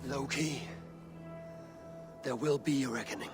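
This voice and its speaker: A man's voice: mid-range voice, unhurried, over music, close to an everyday voice. An actor playing a grave, authoritative Norse god-king, stern and wary, in a video game cinematic. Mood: grave, commanding.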